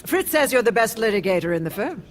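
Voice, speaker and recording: A middle-aged woman who publishes a newspaper in a radio drama. She is poised and measured, with a polite but pointed, probing way of questioning. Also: fairly high pitch, very animated. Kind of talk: radio play acting.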